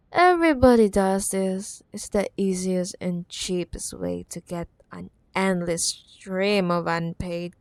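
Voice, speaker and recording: A woman's voice: fairly low pitch, gravelly, dry recording, heavily acted. Possessive, teasing villainess ex-girlfriend CEO acted by a woman, dry close sound. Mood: smug. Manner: teasing, condescending, drawn-out words with pet names. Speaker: audio roleplay voice actor. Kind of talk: ASMR audio roleplay acting.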